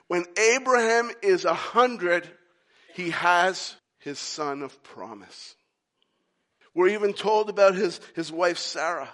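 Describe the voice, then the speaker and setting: A man's voice: high-pitched, fairly bright tone. A man preaching a sermon, using emphatic repetition and rhetorical questions aimed at the listeners.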